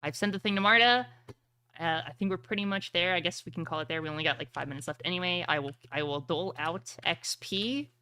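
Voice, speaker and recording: A woman's voice: fairly low pitch, fast, bright, forceful tone, a little hiss or hum. A woman game master chatting casually and informally to her players and stream viewers, swearing now and then. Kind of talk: casual chat wrapping up an online tabletop game session.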